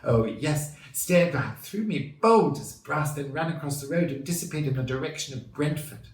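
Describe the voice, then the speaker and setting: A man's voice: on the high side, lively intonation, normal speed, reverberant, recorded from a distance, voice pushed up. A man voicing a worried middle-aged woman, an emphatic and dramatic eyewitness in a children's story. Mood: horrified.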